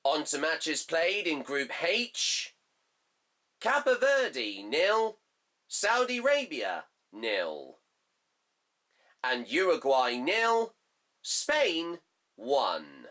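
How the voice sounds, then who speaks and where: A man hosts a fan football show, his voice slightly hoarse. He reads out scores and match facts slowly, in a bright, tense, cutting tone. He halts often and leans on fillers as he reads from his notes. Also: a little high, huge pitch swings. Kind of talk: reading results and previewing a match.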